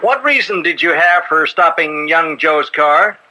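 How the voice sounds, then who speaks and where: A man's voice: on the high side, animated, unhurried, bright, forceful tone, heavily acted, rough recording. A man acting in a radio courtroom drama as a smug, slick American defense attorney, formal, pointed and oratorical. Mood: probing.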